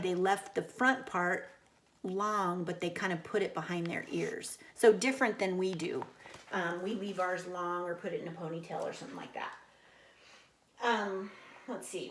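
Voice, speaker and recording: Woman read-aloud teacher, mid-range voice, casual explanatory asides between story passages, roomy echoing sound. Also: mid-range voice. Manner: explains to young listeners, animated sound imitations. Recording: echoey room.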